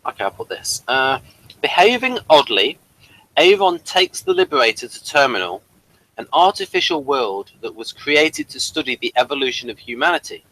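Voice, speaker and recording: A man with a bright, forceful voice chats as co-host of a review show. His pitch swings in a lively way, and he moves along in halting, digressive stretches. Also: gravelly, low-quality recording. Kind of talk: casual review chat with some reading aloud.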